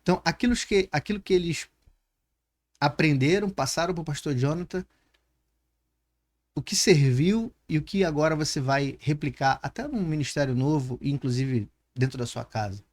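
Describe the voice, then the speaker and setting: A man's voice: a little high, animated, moderate pace. A man with a Brazilian Portuguese accent hosting a conversational podcast interview, reflective and building long questions through examples. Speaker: podcast host.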